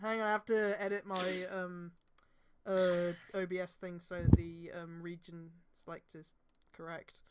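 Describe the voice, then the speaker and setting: A woman's voice: lowish voice, flat delivery, unhurried, a little hiss or hum. A woman hosting a group stream, reading a story aloud with exclamatory, mocking asides. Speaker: host reading fan fiction on a stream.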